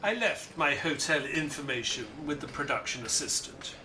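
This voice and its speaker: An actor playing a polite, earnest detective's companion who narrates an audio drama in a formal, theatrical storytelling manner. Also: male voice, a little high, expressive, moderate pace, background noise, close to an everyday voice. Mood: dramatic narrating.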